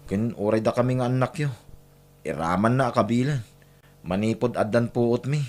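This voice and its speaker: A man's voice: medium pitch, normal speed, a little mellow, lightly acted. A man playing a protective, resolute grown son with an Ilocano accent in a radio-style family drama, speaking earnestly. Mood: earnest, determined. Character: protective, resolute grown child devoted to suffering mother.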